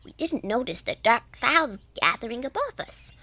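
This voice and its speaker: A woman playing a kindly, devout elderly village neighbor in a children's audio drama, telling stories gently with hushed phrases. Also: fairly high pitch, very animated, moderate pace, no room sound, exaggerated voice. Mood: nostalgic, warm.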